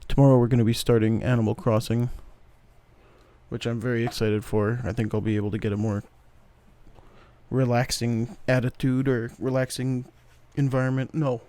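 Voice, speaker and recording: A young man hosting a gaming channel, talking calmly and softly with some rambling restarts as he plays a puzzle game. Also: mid-range voice, normal speed. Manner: soft-spoken, calm, rambling with restarts. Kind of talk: relaxed gameplay commentary.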